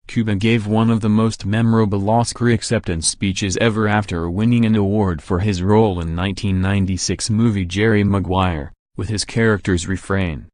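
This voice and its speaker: A man narrating entertainment news in a steady, scripted read with no fillers. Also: lowish voice, normal speed, hardly any pauses, no echo, clean studio sound.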